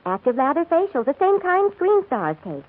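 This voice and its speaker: A gushing young movie fan in a 1940s radio commercial. Her high voice swoops with emphatic admiration over a tinny, narrow recording. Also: huge pitch swings, moderate pace, slight background noise. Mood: confiding. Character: gushing, starstruck young woman at a movie premiere.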